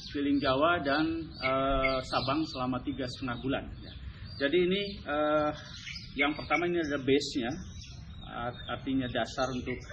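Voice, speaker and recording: A man with an Indonesian accent hosting a vlog, giving an informal, instructive hands-on explanation to camera. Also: mid-range voice. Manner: instructive, often tagging sentences with "ya". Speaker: vlog host demonstrating his radio gear. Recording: slightly muffled, clearly noisy.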